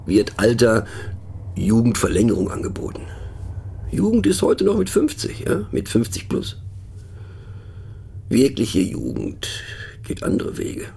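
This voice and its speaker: Very husky, wry, musing narrator man. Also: fairly low pitch, darkish tone, full character voice. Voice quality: husky, gravelly. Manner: wry, pensive, halting, addressing a listener. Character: musing, mocking male narrator pondering abstract words. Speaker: radio play narrator. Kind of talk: conversational radio play monologue.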